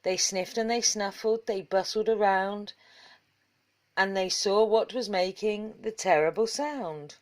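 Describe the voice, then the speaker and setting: A woman reading a children's storybook aloud in measured, rhythmic verse. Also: mid-range voice. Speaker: story reader.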